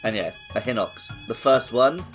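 A man's voice: medium pitch, very animated, moderate pace. A man co-hosting a podcast in casual, enthusiastic gaming discussion. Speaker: podcast host. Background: background music.

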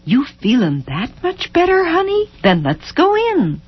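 A woman voicing a worried, gentle husband in a literary story, softly beseeching his wife in folksy speech. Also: sits high, wildly swooping pitch, a little slow, breathy edge, lo-fi sound, pitched above the performer's own voice, heavily acted. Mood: gentle, concerned. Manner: soft, beseeching, folksy dropped endings.

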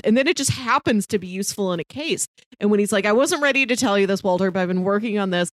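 Female podcast co-host, mid-range voice, casual recap chat, animated storytelling with acted-out quotes, dry recording. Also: mid-range voice, dry recording.

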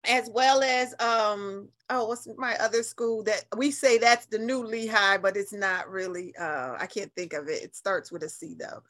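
A woman's voice: mid-range voice, moderate pace, hardly any pauses, fairly bright tone. A middle-aged American woman from New Haven talking in a chatty, colloquial, emphatic way as an interview guest, telling stories. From New Haven, United States. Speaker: radio interview guest, nonprofit leader. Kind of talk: animated conversational interview.

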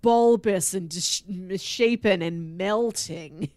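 A woman's voice: medium pitch, slow pace, a little mellow, dry recording, studio quality. A woman co-hosting a podcast, chatting casually and informally about books in a conversational way. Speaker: podcast co-host.

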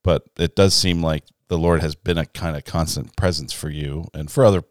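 A male host with a very low voice interviews his guests in a relaxed way. He asks reflective questions and often backs them up with brief affirmations. The sound is close and dry. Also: moderate pace, dry recording. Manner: affirming, many short backchannels. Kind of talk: casual conversational interview.

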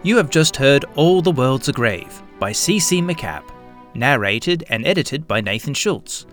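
A man narrates from a script in a medium-pitched voice. He works as a storyteller for an audio production, with music playing behind him. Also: lively intonation, measured pace. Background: background music.

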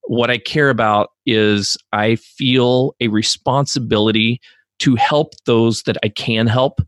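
A man's voice: mid-range voice, steady tone, a little slow, no room sound. A man as a podcast guest, speaking earnestly and with self-deprecation about his feelings. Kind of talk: casual interview conversation.

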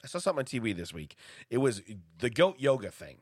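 Congested joking man, lively pitch. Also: quick pace, husky, no room sound, clean studio sound. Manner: jokey, laughs often, riffs on bits. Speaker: podcast co-host. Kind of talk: casual comedic banter.

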